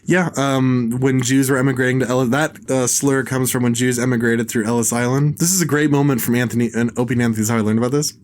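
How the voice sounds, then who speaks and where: A man's voice: mid-range voice, fairly even intonation, quick pace, hardly any pauses. A man co-hosting a podcast in casual comedic chat, rambling through anecdotes with a mocking edge. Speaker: podcast co-host.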